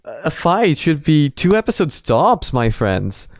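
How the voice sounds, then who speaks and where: A man reacts casually, thinking aloud in a hesitant ramble. His delivery is slow and very animated in pitch, with a smooth, clear voice recorded dry and close. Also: dry recording. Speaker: host of a reaction video. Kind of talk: casual off-the-cuff reaction commentary.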